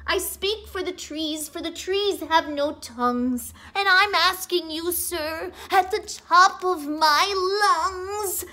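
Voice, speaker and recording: A woman voicing a bossy, indignant old mossy forest creature in a children's storybook, with a sharp, declamatory delivery. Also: very high voice, slow, hardly any pauses, voice pushed up, heavily acted.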